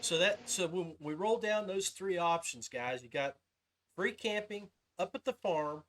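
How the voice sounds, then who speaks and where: A man's voice: sits high, unhurried, fairly bright tone, a little hiss or hum, rough recording. A man hosting a podcast interview in a casual, folksy and informal way. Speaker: podcast host.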